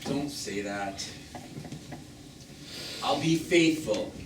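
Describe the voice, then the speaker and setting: Defensive, pleading lover, animated, echoey. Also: male voice, medium pitch, extremely slow. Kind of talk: live one-act stage drama acting. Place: small theatre stage, recorded from afar. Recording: distant noisy roomy theatre stage recording.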